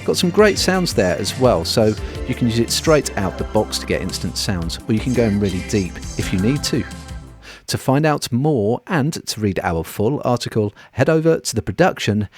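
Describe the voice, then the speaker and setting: A man presenting an audio software walkthrough in a scripted, explanatory narration, recorded close on a voice-over mic. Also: mid-range voice, big pitch swings, moderate pace, over music.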